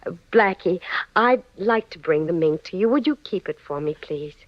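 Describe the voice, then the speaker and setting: An actress playing a sweet-talking, clingy accomplice of a crook, coaxing and gushing in 1940s radio style. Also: female voice, fairly low pitch, animated, normal speed, no room sound, close to an everyday voice. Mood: flattering, scheming. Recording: muffled, noisy 1940s radio recording.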